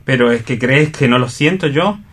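A man's voice: mid-range voice, normal speed, a bit bright, husky. An actor playing a tormented, pleading young man in love in a radio theatre reading, earnest and emphatic.

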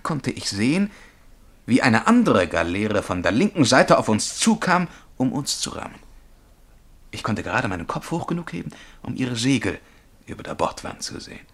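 An actor playing a haunted young man who recounts a dream of being a galley slave, telling it intensely and haltingly in a German radio play. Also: mid-range voice, a bit bright. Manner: intense, vivid, halting at times. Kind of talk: German radio play acting, dramatic storytelling.

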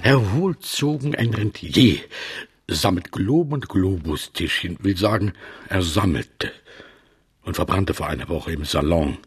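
Radio drama: folksy older man, deep and very raspy, ponderous, swinging pitch. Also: expressive, unhurried, full character voice. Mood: eager, folksy. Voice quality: husky, raspy. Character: dismayed, talkative old local guide to collectors. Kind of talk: German radio play acting.

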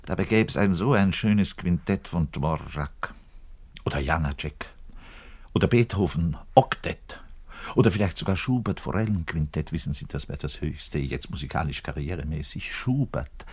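An actor playing a frustrated, self-pitying orchestra double bassist in a radio monologue, with a southern German accent and a colloquial, ranting manner. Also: male voice, moderate pace, darkish tone, slightly rough. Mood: resigned, wistful. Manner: ranting, colloquial, swinging from outbursts to muttered resignation. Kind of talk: German radio play monologue, addressed to the listener.

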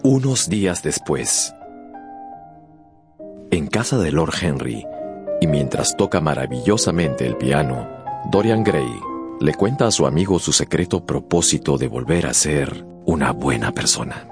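A man with a low, deep voice narrates a radio drama over clear music. His delivery is scripted and dramatic, that of a storyteller. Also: very animated, a little slow.

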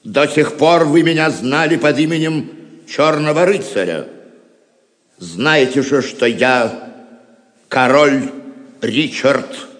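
A man's voice: medium pitch, fairly bright tone. A noble knight king, formal and courteous, radio play, big long echo. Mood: solemn. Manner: formal, measured courtly speech. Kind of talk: audio drama acting. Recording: long hall reverb.

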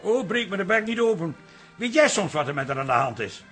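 Exasperated high-voiced pub landlord. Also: male voice, big pitch swings, a little fast, a bit bright, breathy edge, faint music behind, full character voice. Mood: grumbling. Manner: blustering, grumbling. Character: exasperated middle-aged male pub landlord. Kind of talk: radio comedy drama acting.

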